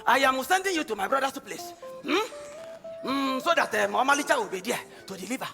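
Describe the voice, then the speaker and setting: Stage drama: commanding, urgent Nigerian patriarch played by a man, high animated voice, hesitant and repetitive. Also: brisk, gravelly, exaggerated voice. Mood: anxious, urgent. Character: anxious, commanding family patriarch sending kin to safety. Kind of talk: stage play acting. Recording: echoey stage hall, music behind.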